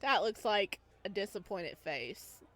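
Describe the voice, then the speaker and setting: A woman hosting a let's play stream at an unhurried pace. She is playful and giggly, reading story text aloud and adding her own commentary in a mid-pitched voice. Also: very animated, a bit bright. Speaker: gaming streamer, VTuber.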